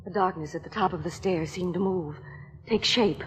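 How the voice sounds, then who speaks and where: A woman's voice: on the low side, moderate pace, breathy edge. An actress playing an anxious, exhausted young woman, narrating her nightmare dramatically in 1950s suspense radio style. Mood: haunted, dread. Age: young adult. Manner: dramatic, dreamy first-person narration. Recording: 1950s radio broadcast, long reverb, music behind.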